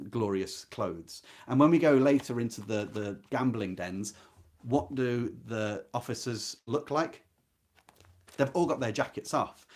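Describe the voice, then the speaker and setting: Male readalong host, medium pitch, literary lecturer style, engaged and emphatic, given to rhetorical questions. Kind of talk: book discussion with expressive read-aloud passages.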